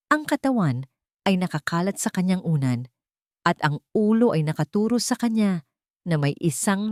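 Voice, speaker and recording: A woman narrates a dramatic audiobook. Her voice is slightly low and very mellow, with soft edges. The recording is dry, with no room sound. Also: no room sound. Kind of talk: scripted dramatic story narration.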